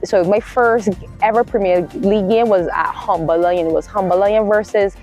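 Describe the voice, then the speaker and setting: A woman's voice: medium pitch, fairly even intonation, moderate pace, darkish tone, faint music behind. A woman from Barbados with a Caribbean accent, telling her story casually as an interview guest and often correcting herself as she goes.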